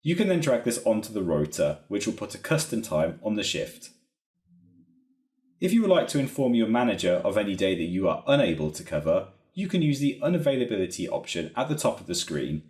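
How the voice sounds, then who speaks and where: A man narrating a software training walkthrough in a methodical, explanatory way. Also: on the low side, big pitch swings, fairly quick. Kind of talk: instructional screen-recording narration. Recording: roomy, echoey voice recording.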